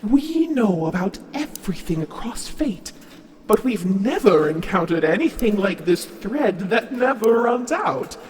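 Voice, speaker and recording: A woman playing a solemn, inquisitive weaver of fate in an audio drama, with measured, formal speech. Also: mid-range voice, very animated, a little mellow. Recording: echoey hall with faint music.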